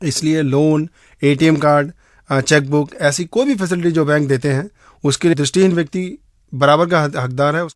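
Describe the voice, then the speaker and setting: Higher-voiced Indian man explaining rules. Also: moderate pace, no room sound, clean studio sound. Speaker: advocate giving legal advice. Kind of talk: explanatory interview answer.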